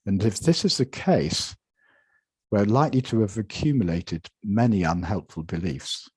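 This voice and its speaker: A man with a very low, husky voice delivers a measured conference lecture from his slides. He often repeats and restarts words as he talks. The sound comes dry and close over a video call. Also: lively intonation, darkish tone, no echo. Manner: measured, with frequent repetitions and restarts. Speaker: conference presenter.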